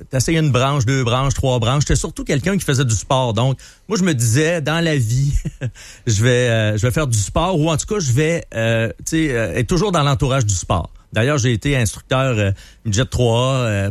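Relaxed Québécois man in his fifties. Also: medium pitch, no echo. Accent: Québécois French. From Lac-Beauport, Quebec. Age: 50s. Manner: relaxed, talks through his memories. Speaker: new radio host, guest. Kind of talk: casual storytelling. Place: radio studio.

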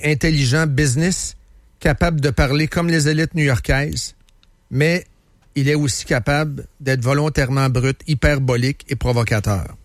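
Joking Québécois radio host. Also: male voice, on the high side, no echo, clean studio sound. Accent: Québécois French. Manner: joking, teasing, slows down to stress points. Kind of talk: casual talk radio banter. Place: radio studio.

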